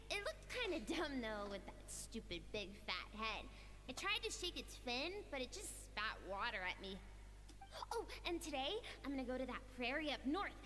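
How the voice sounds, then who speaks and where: A woman voicing a cheeky, restless young girl in a game, talking in an excitable, blunt way. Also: very high voice, in a large hall, heavily acted. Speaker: game character.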